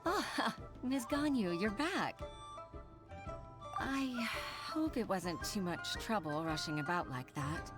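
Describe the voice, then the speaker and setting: An eager, lively clerk. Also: female voice, mid-range voice, slow pace. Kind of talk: video game voice acting. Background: game music.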